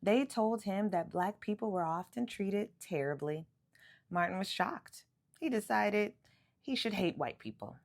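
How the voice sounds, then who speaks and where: A woman reading a children's picture book aloud in an animated, emphatic storytelling way, drawing out words for effect. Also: medium pitch, measured pace, creaky.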